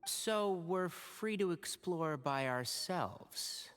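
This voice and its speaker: Earnest, lively-pitched young adventurer. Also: male voice, extremely slow, clean tone, heavily acted. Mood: wary, focused. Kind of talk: video game voice acting.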